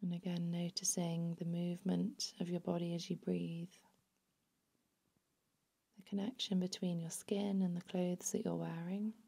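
A woman narrating a guided body scan meditation in a soothing, unhurried, hushed manner. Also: fairly low pitch, flat delivery, vocal fry, husky.

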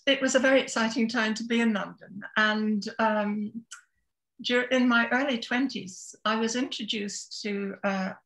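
A woman's voice: on the high side, a bit bright, low-quality recording. A woman in her seventies from Scotland, telling her story as an interview guest in a thoughtful, hesitant, conversational way. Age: 70s. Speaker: interview guest, author and therapist.

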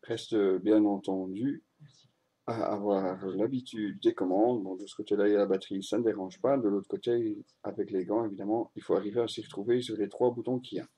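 A man's voice: fairly low pitch, steady tone, brisk, dark tone, breathy edge. A man narrating a motorcycle road test as a voice-over, in a matter-of-fact way. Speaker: motorcycle reviewer.

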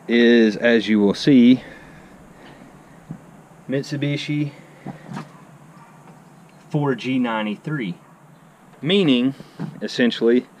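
A man's voice: mid-range voice, darkish tone. A man, hosting a casual car vlog while walking around outdoors, chatty and enthusiastic, often saying "I mean". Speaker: car channel host. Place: outdoor driveway beside a garage. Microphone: handheld action camera. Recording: faint outdoor background noise. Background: faint outdoor ambience.

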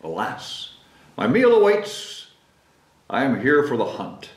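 A man's voice: mid-range voice, huge pitch swings, slow. A man voicing a haughty, formal fox on the hunt in a children's storybook. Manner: pompous, formal. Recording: roomy, echoing indoor sound.

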